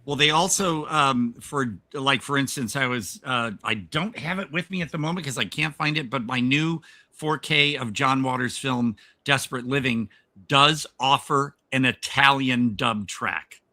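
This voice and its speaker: A man's voice: mid-range voice, unhurried, pushed and bright, dry recording. A man hosting a casual film podcast, enthusiastic about the movie but hesitant and full of fillers as he builds his points. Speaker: podcast host.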